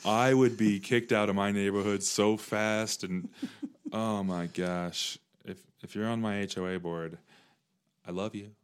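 A young man hosting a casual podcast conversation, earnest and relaxed, saying "dude" and "like" a lot. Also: fairly low pitch, measured pace, clear, resonant tone. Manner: earnest, uses "dude" and "like" often. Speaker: podcast host.

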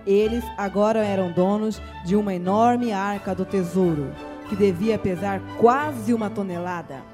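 A narrator reading a radio drama in a measured storytelling manner. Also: mid-range voice, over music.

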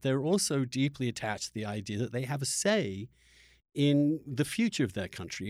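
A man from England talks as a podcast host in a dry, close acoustic. His voice is medium in pitch, and he speaks reflectively and haltingly, doubling back on words as he thinks aloud. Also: a little mellow, no echo. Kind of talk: conversational political discussion.

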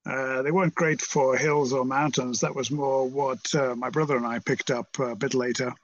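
A man's voice: medium pitch, fairly even intonation, moderate pace, dry recording. Hesitant older British man, slightly muffled. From Merseyside, England. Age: 70s. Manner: hesitant, rambling, understated. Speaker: interview guest, academic. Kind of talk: reflective conversational interview.

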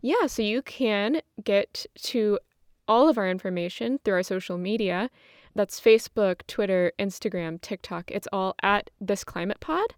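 A woman's voice: medium pitch, a little slow, clean tone, no room sound. Woman podcast host, close dry sound. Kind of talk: casual podcast chat.

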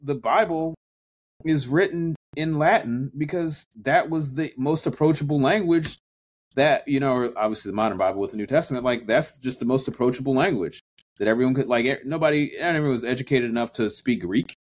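A man co-hosting a podcast, talking through ideas in a casual, reflective way with frequent restarts. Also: mid-range voice. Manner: thoughtful, restarts often, drawn-out phrases. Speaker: podcast co-host. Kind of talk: casual discussion.

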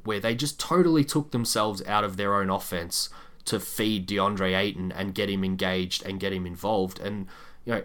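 A man co-hosting a sports podcast, giving measured, candid analysis in a casual discussion. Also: on the low side, fairly bright tone.

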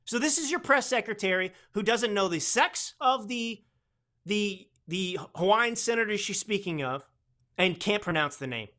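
Bright-voiced sarcastic male podcast host. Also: sits high, expressive, moderate pace. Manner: sarcastic, mocking, rambling with restarts. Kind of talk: political commentary podcast.

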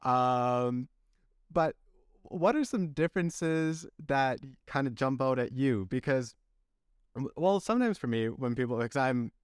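A man's voice: mid-range voice, lively intonation, measured pace, smooth, no room sound, studio quality. A man who grew up in Canada hosting a podcast, talking in an easygoing, conversational way. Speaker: podcast host.

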